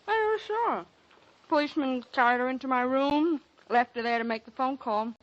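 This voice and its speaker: A coy, breezy society lady voiced by a woman with a high voice who murmurs little replies. The sound is dull and lo-fi, like an old radio recording. Her manner stays light and teasing throughout. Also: a little fast, lightly acted. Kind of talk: 1940s radio acting.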